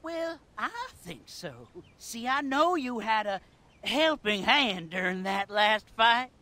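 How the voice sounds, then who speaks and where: Twitchy biker gang underling for a game: very high, swooping voice, agitated and stammering. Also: lively intonation, slow pace, bright, forceful tone, heavily acted. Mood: sly taunting. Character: jittery, twitchy, excuse-making male biker gang underling. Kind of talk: video game cutscene acting.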